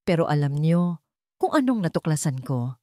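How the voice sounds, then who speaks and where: A woman's voice: deep voice, very animated, moderate pace, dark tone, dry recording, clean studio sound. A woman voicing a betrayed elderly widowed father in an audio story, speaking solemnly, with emotion and emphasis. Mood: hurt, reproachful. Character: betrayed elderly widowed father, dignified, wounded but resolute. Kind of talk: dramatic audio-story dialogue.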